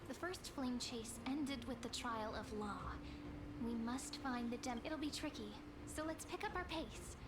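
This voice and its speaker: Woman performing poised young-sounding companion, game dialogue, high pitch, leisurely pace, playful, noisy capture. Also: measured pace, natural voice. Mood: composed. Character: playful, poised female travelling companion and storyteller. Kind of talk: game story dialogue and narration.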